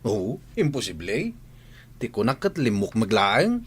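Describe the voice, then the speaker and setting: Husky, jumpy male servant, wildly animated. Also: fairly low pitch, a little slow, exaggerated voice. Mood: flustered. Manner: stammering, evasive, exclaiming. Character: nervous, gossipy comic male household servant. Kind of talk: Ilocano comedy radio drama acting.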